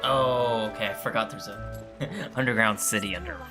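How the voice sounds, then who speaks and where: A woman's voice: a bass voice, normal speed, music in the background. A woman giving casual gameplay commentary as a streamer, with playful blurted asides. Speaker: game streamer.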